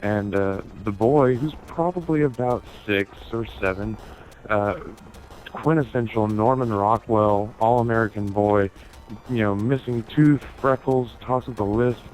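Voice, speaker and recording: A man with a slightly low voice spins a casual, funny story over an online game. He swears freely and acts out the dialogue as he goes, in the manner of someone talking to friends. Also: unhurried, slight background noise, rough recording. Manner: sweary, animated retelling with acted-out dialogue. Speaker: storyteller in an online gaming video. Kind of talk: casual comic anecdote told over gameplay.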